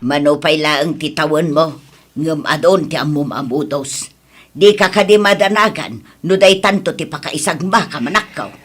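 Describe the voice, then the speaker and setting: A woman's voice: low-pitched, normal speed, husky. A woman with an Ilocano accent playing a stern, long-suffering middle-aged mother in a radio drama, scolding and emphatic. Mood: reproachful.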